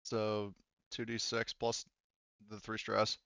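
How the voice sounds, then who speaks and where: Male game master, low clear voice, informal tabletop narration, dry lo-fi recording. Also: monotone, slow pace, fairly bright tone, no room sound. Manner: informal, swearing. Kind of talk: running a tabletop roleplaying game, casual explaining.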